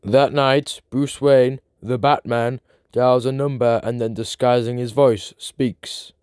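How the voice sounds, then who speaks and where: A man's voice: mid-range voice, steady tone, takes it slowly, darkish tone, clean tone, dry recording, studio quality. Male comic-book narrator over music. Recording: background music.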